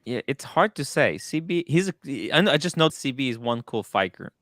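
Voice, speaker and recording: A man's voice: mid-range voice, very animated, brisk, fairly bright tone, no echo, studio quality. A man hosting a casual livestream chat, reading out viewer messages in a loose, stumbling way and repeating his words. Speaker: livestream host reading super chats.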